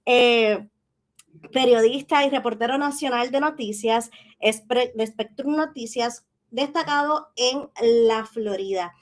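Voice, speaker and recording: A woman hosting a conversational interview in a welcoming manner. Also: high-pitched. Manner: welcoming, complimentary to guest. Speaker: interview show host.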